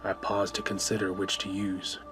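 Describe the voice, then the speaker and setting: A man reading scripted first-person narration for a horror radio play in a measured storytelling manner. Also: sits low, monotone. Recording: music bed under narration.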